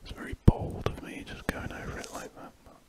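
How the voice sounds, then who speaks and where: A British man speaking in a breathy whisper, rambling slowly and musingly in an ASMR style as he draws at a desk. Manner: slow, musing, trailing off. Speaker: ASMR artist. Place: indoors at a drawing desk.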